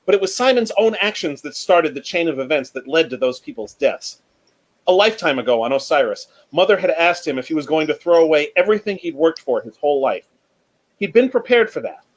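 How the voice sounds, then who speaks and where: Guy w a slightly high voice, panel talk and reading bits of a novel out loud, storyteller feel. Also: rough recording. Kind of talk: casual panel chat and expressive book reading. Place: remote online panel from home.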